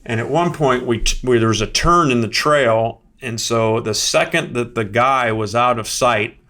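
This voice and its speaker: A man who is a podcast guest, telling a personal story in a casual, animated way. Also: mid-range voice.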